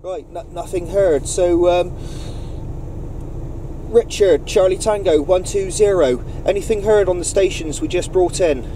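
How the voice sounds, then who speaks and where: A man's voice: high-pitched, a little slow. A man running a CB radio net, making procedural call-outs and chatting courteously with other operators. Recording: noisy vehicle CB radio setup, distant mic.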